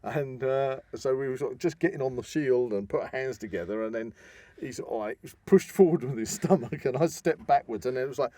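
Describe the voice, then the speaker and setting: A man's voice: medium pitch, fairly quick, dark tone, dry recording. A British man co-hosting a podcast in casual, jokey, rambling chat, recorded close up in a studio. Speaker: podcast co-host. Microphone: close studio microphone.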